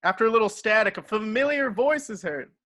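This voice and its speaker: A man's voice: high voice, animated, a little fast, bright, forceful tone, dry recording, studio quality. A man reading stage directions as narrator in an amateur table read, now and then stumbling and correcting himself.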